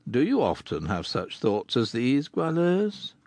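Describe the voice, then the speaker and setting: A compassionate gentleman asks his questions gently and with concern, as a man reading the book puts him on. His voice has a gravelly texture and a lively rise and fall. The recording is close and dry. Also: mid-range voice, a little slow, no room sound, voice pushed up, heavily acted.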